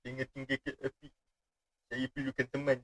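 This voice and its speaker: A man's voice: medium pitch, completely flat delivery, quick pace, a bit bright, low-quality recording. Playful young Malaysian man livestreaming. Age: young adult. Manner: playful, jokey. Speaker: game livestreamer. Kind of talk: casual livestream chat.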